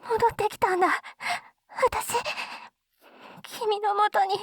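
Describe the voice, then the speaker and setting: A woman playing a shy, lovestruck schoolgirl in an intimate ASMR roleplay, speaking breathily and haltingly, close to a binaural microphone. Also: extremely high pitch, fairly even intonation, dry recording, exaggerated voice. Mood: emotional, longing. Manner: breathy, halting, often dropping into whispers. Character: lovestruck schoolgirl reunited from another world, shy and tender. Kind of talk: Japanese ASMR roleplay, intimate situation voice acting. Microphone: close binaural dummy-head microphone.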